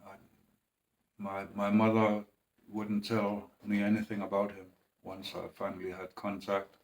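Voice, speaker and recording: A man speaking in a halting, hesitant way, with restarts and long pauses, in a personal monologue. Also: lowish voice, completely flat delivery, poor audio. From Greenland. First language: Danish.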